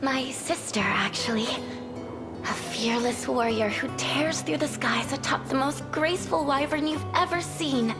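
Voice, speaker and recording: A woman playing a gentle, courteous young cleric in a fantasy video game, with a sweet, caring manner. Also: sits high, measured pace, music in the background. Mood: fond, worried.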